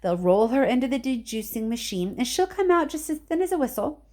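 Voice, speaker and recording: A woman reads as an eccentric male factory owner from a children's storybook. He is exclamatory and impatient, leaping up in pitch as he hurries everyone along. His delivery is emphatic and dismissive. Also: sing-song, a little fast, a little mellow. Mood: brisk, emphatic.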